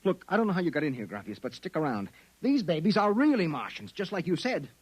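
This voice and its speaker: An actor with an American accent in a 1950s radio drama, playing a blunt, rattled private investigator who talks in slang and stammers under pressure. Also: male voice, a little high, big pitch swings, fast, slightly rough, no room sound. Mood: bewildered. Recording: vintage 1950s radio recording.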